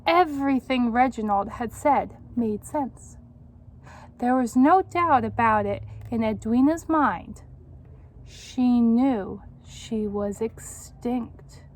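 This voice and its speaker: A woman reading a children's picture book aloud in an expressive, encouraging, teacherly way, pausing to put questions to young listeners. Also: fairly high pitch, low-quality recording.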